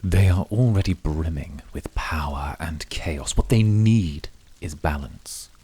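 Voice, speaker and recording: A man playing a calm, brooding incubus in a flirty ASMR roleplay, measured, intimate and quietly seductive. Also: a bass voice, slightly rough, heavily acted. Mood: calm, protective.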